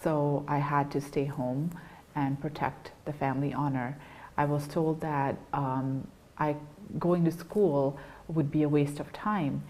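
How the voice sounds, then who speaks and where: A young woman speaks in a low register, earnest and unhurried. She talks as a guest, stopping and restarting often with small fillers. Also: steady tone, a little mellow, poor audio. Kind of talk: personal testimony in an interview.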